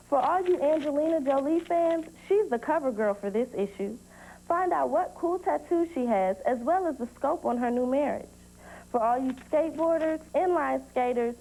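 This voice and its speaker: A woman's voice: sits high, a little mellow, poor audio. A young woman reading scripted promotional narration as a reporter on a youth TV show. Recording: clear background noise.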